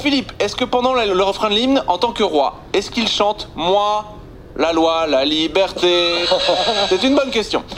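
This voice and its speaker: A man's voice: high voice, a bit bright, slight background noise. A Belgian man with a Belgian French accent doing a sarcastic comic monologue as a radio columnist. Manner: sarcastic, punchy joke delivery.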